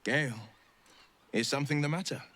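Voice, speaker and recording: An actor playing a courteous, jaunty adventuring companion in a video game, with friendly phrasing. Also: male voice, a little high, a little fast, a bit bright, close to an everyday voice. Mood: genial. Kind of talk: dramatic video game acting.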